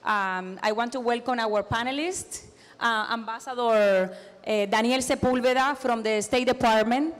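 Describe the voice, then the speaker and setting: A woman's voice: fairly high pitch, normal speed, big-room sound. Cuban-accented woman moderating a panel. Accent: Cuban Spanish. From Cuba. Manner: hesitant, many fillers.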